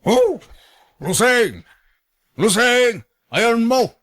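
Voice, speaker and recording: A man's voice: extremely high pitch, big pitch swings, drawn-out words, a bit bright, exaggerated voice. A man with an Ilocano accent playing a bossy, demanding elderly man in a radio drama, blunt and nagging. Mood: calling out impatiently.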